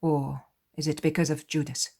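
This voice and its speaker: Woman voicing a calm, observant male disciple. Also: fairly high pitch, normal speed, vocal fry.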